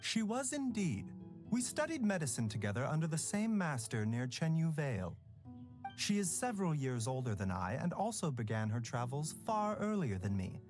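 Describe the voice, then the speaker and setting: A calm, sly doctor. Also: male voice, a little high, soft background music. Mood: reflective. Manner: measured, unhurried and slyly teasing. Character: calm, enigmatic male doctor, young adult. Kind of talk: video game voice acting.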